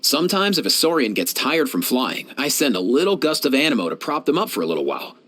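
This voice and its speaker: A man's voice: medium pitch, sing-song, fast, fairly bright tone. A man voicing a young, laid-back and caring animal doctor for a fantasy video game, speaking in a friendly, casual way. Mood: earnest, gentle. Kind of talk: scripted video game character voice lines.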